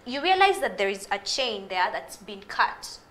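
A woman's voice: medium pitch, moderate pace, slight background noise. A young woman with a Ugandan accent, arguing animatedly as a guest on a TV studio panel, speaking into a lapel mic. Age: young adult. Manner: animated, gestures while arguing.

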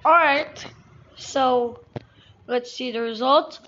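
A woman with a fairly high voice talks through a casual tutorial. She goes very slowly, in a halting, step-by-step way. The sound is somewhat dull and lo-fi. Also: fairly bright tone. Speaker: tutorial host. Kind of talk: casual screen-recorded tutorial. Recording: dull lo-fi sound, background noise.

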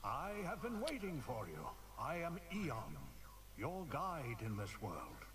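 A man playing an ancient, wise wizard spirit, speaking in a solemn, measured way as a fantasy game guide. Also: a little high, huge pitch swings, crowd noise, heavily acted. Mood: solemn welcome. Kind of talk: grand fantasy game narration. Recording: game audio doubled under loud noise.

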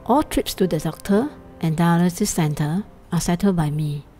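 Matter-of-fact woman caregiver, warm tone. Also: fairly low pitch, normal speed, soft background music. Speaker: caregiver, wife. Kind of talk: interview testimony.

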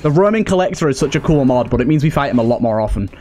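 A man's voice: mid-range voice, lively intonation, racing, warm tone, slight background noise. A man giving casual let's play commentary, thinking aloud and repeating phrases for emphasis. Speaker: gaming video host.

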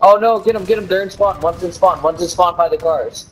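A man chatting crudely and excitedly while gaming, talking into a headset. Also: high voice, brisk, slight background noise, rough recording. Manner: crude, joking, excited shouting. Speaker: gamer host. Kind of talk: casual gaming chat.

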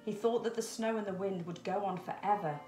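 A woman's voice: medium pitch, fairly even intonation, brisk, dark tone, faint music behind. A middle-aged woman narrating a children's story expressively, recorded at home. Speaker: storybook reader. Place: small room at home, indoors. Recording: echoey domestic room.

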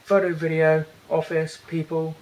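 A young British man casually walking through a phone's features in a bedroom, talking haltingly with many fillers and restarts. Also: on the high side, flat delivery, a little mellow, rough recording. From Britain. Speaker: tech reviewer. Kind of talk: casual hands-on product walkthrough. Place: indoors, bedroom.

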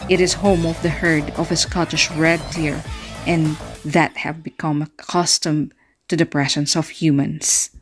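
A woman reading a narration in an even tone, with small slips. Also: low voice, measured pace, husky, soft background music. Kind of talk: read-out narration.

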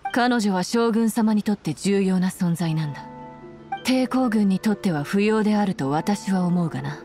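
A woman's voice: medium pitch, moderate pace, dark tone, faint music behind, lightly acted. A woman playing a stern, commanding female general in an anime game, speaking with cool, formal authority. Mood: calm, bargaining.

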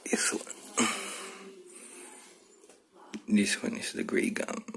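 A man chatting casually about sneakers in a low-key, halting way, recorded on a phone in a bedroom. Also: a bit bright, slight background noise. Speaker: sneaker reviewer. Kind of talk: casual unboxing chat. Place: small bedroom, indoors.

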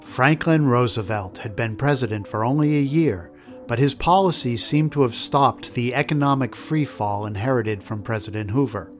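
Man, history show host, scripted narration, average pitch, light music. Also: normal speed. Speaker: podcast host.

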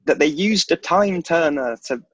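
A man's voice: fairly high pitch, animated, moderate pace, no echo. A young British man playing a nervous, chatty, self-deprecating schoolboy wizard in a play reading, with a hesitant, stammering delivery. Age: young adult. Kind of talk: theatrical table read. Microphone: video call. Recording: dull video-call audio.

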